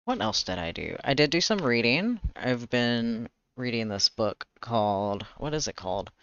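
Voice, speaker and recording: A man with a slightly raspy voice chatting casually on a livestream, rambling and pausing to search for words. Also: medium pitch, very animated, bright, forceful tone, highs cut off. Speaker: streamer, webcomic artist. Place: indoors, livestream.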